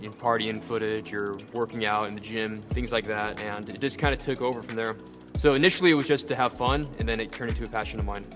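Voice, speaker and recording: Young guy in his twenties, rapid easygoing interview talk over soft background music. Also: medium pitch. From Seattle, Washington. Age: 20s. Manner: casual, lots of fillers like "like" and "you know". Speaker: bodybuilder and actor. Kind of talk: personal interview monologue. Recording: light music behind.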